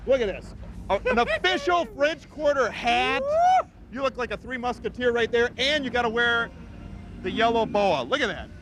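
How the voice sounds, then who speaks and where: A man doing lively live television banter, exuberant and teasing. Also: very high-pitched, moderate pace. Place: outdoors on a city street in rain. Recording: outdoor live shot, clear street noise.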